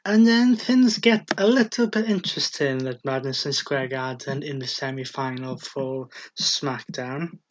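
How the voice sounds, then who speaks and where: A man co-hosting a casual wrestling talk show, talking slowly with fillers and laughs. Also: medium pitch, slight background noise. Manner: slow, hesitant, with fillers and laughs. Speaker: podcast co-host. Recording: dull lo-fi home recording.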